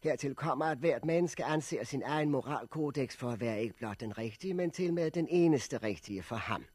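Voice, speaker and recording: An actor playing an elderly sociology professor in a 1960s radio drama, giving a formal, deliberate lecture with pedantic, unsentimental authority. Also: male voice, medium pitch, lively intonation, darkish tone, breathy edge, no room sound. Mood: measured, lecturing. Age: older. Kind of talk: 1960s radio drama acting, public speech.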